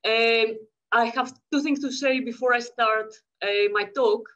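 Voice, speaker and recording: A woman in her thirties with a Greek accent, from Greece, reading a conference paper quickly over a video call. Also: on the high side, steady tone, a bit bright. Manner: fast-talking, checks in with the audience. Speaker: academic speaker. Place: home room, indoors. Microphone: laptop webcam mic, video call. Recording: muffled lo-fi video call audio.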